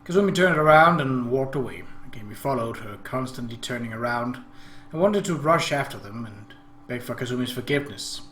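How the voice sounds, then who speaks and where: A man reads a visual novel aloud as a let's-play host, his pitch moving widely. The room echoes around him, with faint noise behind. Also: animated, a little fast, a bit bright. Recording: roomy echo, faint background noise.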